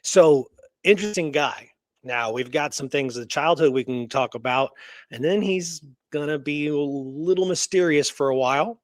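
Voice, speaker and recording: A man's voice: on the high side, moderate pace, no room sound. Casual man rambling through history talk, dry sound. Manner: informal, rambling, checks in with "right?". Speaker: history show host. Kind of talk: casual unscripted history discussion.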